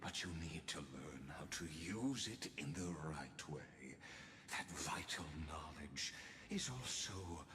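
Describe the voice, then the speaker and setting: An actor voicing a grave, menacing male villain of cosmic power in a video game, speaking in a deliberate, formal, condescending way. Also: extremely low pitch, lively intonation, roomy sound, background noise, heavily acted. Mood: solemn, knowing. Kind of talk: dramatic video game dialogue. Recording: game audio under loud music.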